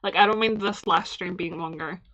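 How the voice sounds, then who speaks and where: A woman's voice: on the low side, steady tone, moderate pace, pushed and bright, poor audio. A young Scottish woman from Glasgow streaming a game, chatting in a rambling way, laughing often and reading the on-screen text aloud. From Glasgow, Scotland.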